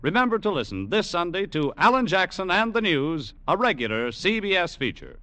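A man's voice: sits high, very animated, brisk, bright, forceful tone. A man with an American accent reading a scripted promotion as a polished, formal old-time network radio announcer.

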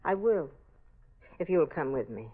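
A woman's voice: low voice, animated, unhurried. A woman acting a determined, suspicious amateur sleuth in a 1940s radio mystery, dramatic and insistent. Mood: pointed, suspicious. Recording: dull old radio broadcast recording.

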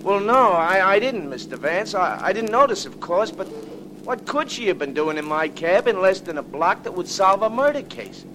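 An actor playing a chatty, baffled working-class cab driver in a late 1940s radio drama, slangy and eager, on a noisy old recording. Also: male voice, sits high, big pitch swings, moderate pace.

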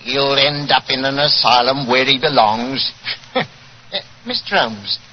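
A man plays a jovial police inspector from a 1940s radio mystery. He is chummy and jocular, with a medium-pitched voice. The recording is slightly dull, with faint noise. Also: animated, a little slow, lightly acted. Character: jovial, chuckling police inspector fond of puns. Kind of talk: 1940s radio drama acting.

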